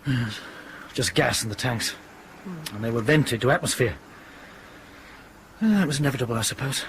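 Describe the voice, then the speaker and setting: An actor playing a stern, authoritative airline captain in a radio drama, with a clipped, commanding manner. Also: male voice, mid-range voice, lively intonation, moderate pace, slight background noise, natural voice. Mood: grave.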